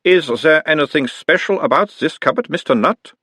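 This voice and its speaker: A calm analyst with a mild Uberwaldian accent coaxes answers in a raised, lilting voice, as a man puts him on. Each question is gentle and probing. The recording is dry and close. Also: on the high side, normal speed, breathy edge, dry recording, pitched above the performer's own voice, exaggerated voice. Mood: coaxing. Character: orc playing his own analyst, calm, probing.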